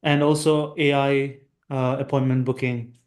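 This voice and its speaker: A man's voice: medium pitch, flat delivery, a little slow, a little mellow. A man talking shop on a casual business call about his roofing agency. He hesitates often, trailing off with fillers and starting sentences over. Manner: hesitant, many fillers and restarts.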